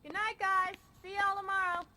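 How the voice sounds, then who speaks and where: An actress playing a shrill, excitable city bystander in a video game. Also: female voice, normal speed, slight background noise, heavily acted. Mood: friendly.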